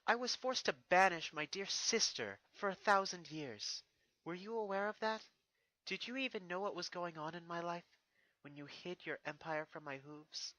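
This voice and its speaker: A man's voice: high-pitched, moderate pace, sharp tone, no echo, voice pushed up, low-quality recording. A man voicing a regal, stern female pony princess who speaks in formal, solemn speeches with a wounded resolve. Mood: stern, accusing.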